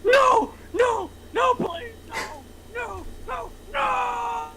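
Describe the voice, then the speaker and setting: A tortured man in his forties, begging desperately at an extremely high pitch. The pleas are shouted and drawn out very slowly, with faint noise behind them. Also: exaggerated voice, rough recording. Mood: terrified, screaming. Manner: desperate screamed pleading.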